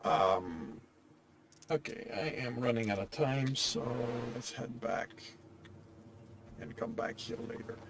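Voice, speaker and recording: A man chatting casually while playing a game, explaining his thoughts in a halting, rambling way. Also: mid-range voice. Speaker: game streamer. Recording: game music faintly behind the voice. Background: faint video game music.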